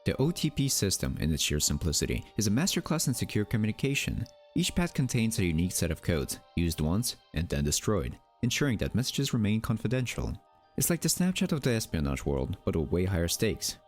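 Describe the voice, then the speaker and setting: Low-voiced man narrating with animated intonation. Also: fairly quick, faint music behind. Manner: wry, theatrical, rhetorical asides. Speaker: narrator of a mystery documentary channel. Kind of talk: scripted documentary narration.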